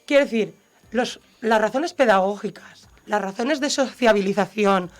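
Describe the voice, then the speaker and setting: A woman's voice: mid-range voice, moderate pace. Woman explaining on radio. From Siete Aguas, Valencia, Spain. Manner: explanatory, reads out law text, lively examples. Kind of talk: radio discussion.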